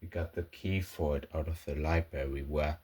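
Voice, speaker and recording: A man playing a battle-shaken soldier who recounts a war in a halting, husky voice for an amateur sci-fi audio log. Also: rumbling low, fairly even intonation, darkish tone. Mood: haunted. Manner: halting, broken into short phrases with heavy pauses. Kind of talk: scripted first-person audio log narration, amateur sci-fi roleplay.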